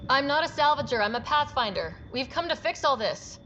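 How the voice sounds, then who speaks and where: An actress playing an earnest, steady young female space explorer in a video game cutscene. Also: high-pitched, a little fast, lo-fi sound, slight background noise. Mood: determined.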